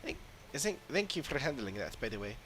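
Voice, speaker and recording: A man's voice: mid-range voice, big pitch swings, fairly quick, fairly bright tone, slight background noise. A man voicing a friendly, businesslike pest-control cleaner in video game dialogue, explaining things with light animation. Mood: friendly, explanatory. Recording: game music behind the voice.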